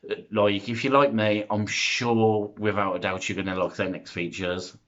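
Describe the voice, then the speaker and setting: A man in his thirties, chatty and self-deprecating, who rambles through a vlog with plenty of ums and uhs. His voice sits very low, and the recording sounds a bit dull and lo-fi. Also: flat delivery, moderate pace, fairly bright tone. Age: 30s. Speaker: YouTuber. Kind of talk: casual vlog chat.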